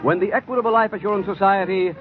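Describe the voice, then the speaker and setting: A man's voice: high-pitched, fairly even intonation, fast. An American man working as a polished, formal announcer and sponsor spokesman in 1950s radio style. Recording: tinny vintage radio broadcast, faint music.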